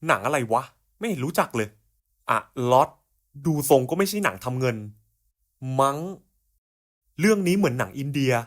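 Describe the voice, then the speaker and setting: A man performs cartoon puzzle dialogue in a mid-pitched voice. His delivery is colloquial and slangy, with the blunt, coarse banter of close buddies talking to each other. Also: animated, moderate pace, pauses often. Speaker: cartoon creator voicing puzzle skits. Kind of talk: scripted cartoon dialogue in casual slangy speech.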